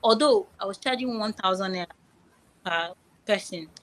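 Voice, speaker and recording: Young Nigerian woman, halting and repetitive. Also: medium pitch, fairly bright tone. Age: young adult. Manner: repeats phrases, many fillers and restarts. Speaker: guest. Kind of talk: podcast interview.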